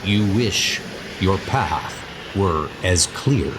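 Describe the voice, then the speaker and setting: A man narrating a comic story in a slow, deliberate, portentous style. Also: low voice, animated, noisy background. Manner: slow, deliberate, portentous second-person delivery. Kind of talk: dramatic scripted comic narration. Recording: music behind the voice. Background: music.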